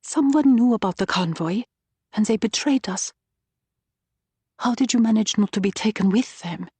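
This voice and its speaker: A woman's voice: medium pitch, animated, normal speed, darkish tone, slightly rough, no echo, voice pushed up. A woman voicing a stern, guarded female resistance leader in a wartime audiobook, terse and questioning in manner. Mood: tense, guarded.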